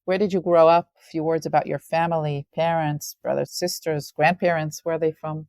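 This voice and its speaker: Low, mellow-voiced woman hosting an interview. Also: steady tone, fairly quick, no room sound, studio quality. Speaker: podcast host, interviewer. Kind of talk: interview questions and introduction.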